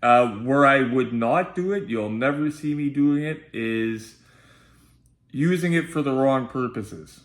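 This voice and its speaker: A man's voice: medium pitch, a little slow. A man with a nasal voice, chatting informally to viewers as he hosts a casual unboxing vlog. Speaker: video host and collectibles unboxer. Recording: roomy, echoey sound.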